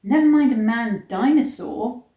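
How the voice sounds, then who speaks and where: A woman reading a story aloud in a measured, theatrical storybook style. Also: a little high, dark tone, muffled recording, a little hiss or hum. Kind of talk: dramatic storybook reading with disgusted asides. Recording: echoey, roomy sound.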